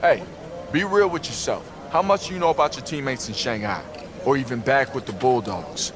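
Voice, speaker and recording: An actor playing an earnest, preachy young pro basketball star with an American accent in a video game. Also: male voice, mid-range voice, brisk, music in the background, close to an everyday voice. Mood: stern, lecturing. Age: young adult. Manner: lecturing, earnest.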